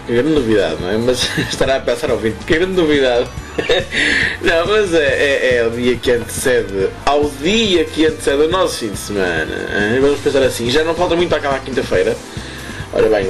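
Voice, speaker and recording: Hoarse European Portuguese male radio host, fairly high voice, chatty and rambling, faint music underneath. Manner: rambling, self-correcting, chuckling asides. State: hoarse from smoke. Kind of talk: casual radio show chat.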